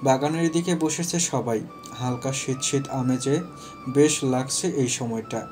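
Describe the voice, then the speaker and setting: A man's voice: mid-range voice, moderate pace, over music. A man narrating a scripted romantic audio story.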